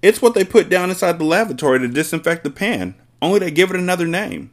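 A shrill middle-aged mother from a storybook, acted out by a man. The voice sits high and swings widely in pitch. She exclaims and scolds her husband with open scorn. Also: sing-song, fast. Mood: scornful scolding.

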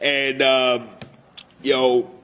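A man playing an indignant Italian-American Bronx guy, telling his tale haltingly and repetitively in a radio comedy sketch. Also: medium pitch, level tone, a bit bright. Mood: aggrieved.

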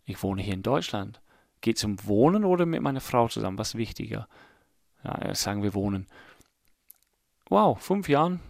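Englishman in his late thirties, English accent, gravelly voice, dry close sound, rambling self-mocking podcast talk. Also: mid-range voice, no echo. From England. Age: late 30s. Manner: rambling, self-mocking, thinking aloud with German slips. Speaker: podcast host. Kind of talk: casual solo podcast musing.

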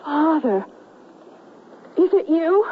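A devoted, worried young daughter of the old West. Her voice is very high and lively in pitch. It is heard through a tinny radio broadcast. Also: takes it slowly, a little hiss or hum, exaggerated voice. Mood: anxious. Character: anxious, devoted young daughter of a Confederate colonel. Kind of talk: 1970s western radio drama acting. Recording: thin vintage radio broadcast, music behind.

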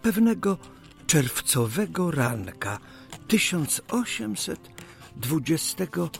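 An actor playing a 19th-century Polish official, speaking in formal, old-fashioned phrases with deliberate pauses, earnest and dignified in an audio drama. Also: male voice, a little high, sing-song, gravelly, faint music behind, exaggerated voice. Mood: nostalgic, reflective. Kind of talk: audio drama acting and diary narration.

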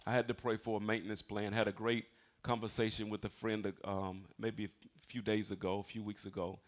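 A man's voice: on the low side, flat delivery, brisk. A man in his forties with an American accent preaching as a pastor, mixing personal testimony with emphatic, conversational appeals for amens. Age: 40s. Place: speaking to a congregation in a church building.

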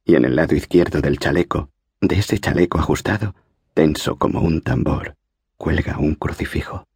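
A man's voice: very deep voice, normal speed, a little mellow, slightly rough, dry recording, studio quality, full character voice. A man voicing an earnest, solemn schoolboy in an audiobook, speaking in a grand and reverent way. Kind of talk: audiobook character reading.

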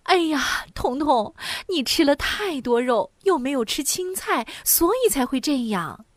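A woman's voice: sits high, expressive, a little slow, no echo, clean studio sound. A woman voicing a worried, soothing mother in a storybook. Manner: anxious, soothing. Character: fussing, caring mother.

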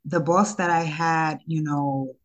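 Low-voiced, hesitant woman chatting informally. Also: steady tone, slow pace. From born in Ghana, raised in Harlem, New York. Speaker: interview guest, publisher. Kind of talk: casual conversational interview answers, personal storytelling.

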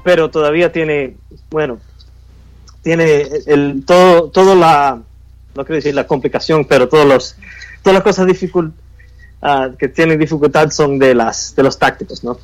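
A man who grew up speaking Spanish at home and now mostly speaks English, chatting as a game designer guest and often pausing to search for words. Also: on the high side, poor audio. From United States, Cuban family. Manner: halting, searching for Spanish words, many fillers. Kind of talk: casual interview chat.

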